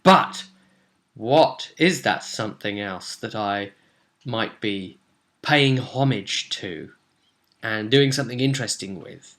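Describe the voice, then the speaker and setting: Bright-voiced young man telling a game story. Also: fairly low pitch, lively intonation, takes it slowly. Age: young adult. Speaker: vlogger recapping his tabletop roleplay campaign. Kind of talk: casual storytelling recap to camera. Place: small room at home, indoors.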